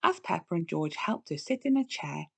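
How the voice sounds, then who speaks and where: A woman reading a children's storybook aloud with expressive, deliberate phrasing for young listeners. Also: fairly low pitch, creaky, breathy edge. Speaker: storybook reader. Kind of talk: scripted children's read-aloud narration.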